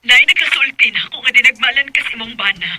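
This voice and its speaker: A very sharp, high-pitched mother with a Cebuano accent. She is anxious and insistent, delivered in radio drama style. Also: lively intonation, fast, a little hiss or hum, full character voice. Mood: urgent, scolding. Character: worried, insistent mother of a battered daughter. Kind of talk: Filipino radio drama acting.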